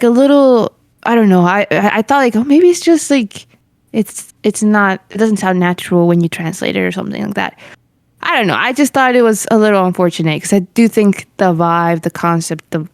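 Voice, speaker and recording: A woman's voice: mid-range voice, lively intonation, moderate pace, no room sound, clean studio sound. A woman co-hosting a casual podcast chat. She speaks hesitantly, often restarting as she searches for words. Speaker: podcast co-host.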